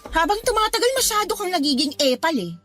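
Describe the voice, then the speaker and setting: An actress playing a stubborn, fed-up young adult daughter in a radio drama, with a snippy, complaining manner. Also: female voice, very high voice, sing-song, quick pace, fairly bright tone, full character voice.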